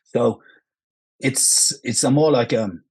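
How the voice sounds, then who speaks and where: A man with a Norwegian accent, speaking English as a second language, answering interview questions in a halting way full of restarts and fillers. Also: mid-range voice, a little mellow, husky, no room sound. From Norway. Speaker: actor, guest. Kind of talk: casual interview.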